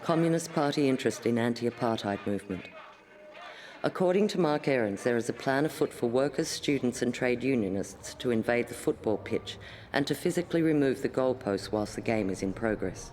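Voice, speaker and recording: A man's voice: mid-range voice, expressive, brisk, a little mellow, slight background noise. A man reading out official reports as a scripted documentary voice-over. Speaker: reader of intelligence files.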